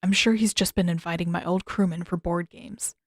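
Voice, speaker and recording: A woman's voice: fairly low pitch, steady tone, moderate pace, dry recording, clean studio sound, natural voice. A woman voicing a stubborn, guarded teenage prince in a terse, offhand manner for a story reading.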